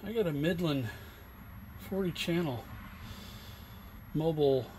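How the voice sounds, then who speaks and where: A middle-aged man giving a casual, unscripted product demonstration in a plainspoken, halting way. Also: fairly high pitch, lively intonation, darkish tone. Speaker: online seller demonstrating used radio equipment. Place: indoor home workshop bench. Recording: room noise, homemade camera recording.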